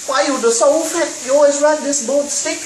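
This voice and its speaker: A man's voice: extremely high pitch, fairly even intonation, moderate pace, a little mellow, reverberant, recorded from a distance, slight background noise, voice pushed up, rough recording. A man putting on the voice of a friend's scolding immigrant father, who speaks in clipped broken English.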